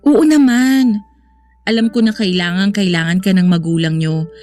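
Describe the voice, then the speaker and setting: A woman's voice: mid-range voice, normal speed, a little mellow, faint music behind, natural voice. An actress playing a caring, sensible young woman in a radio drama, speaking earnestly and reassuringly. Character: caring, sensible young woman consoling her troubled boyfriend.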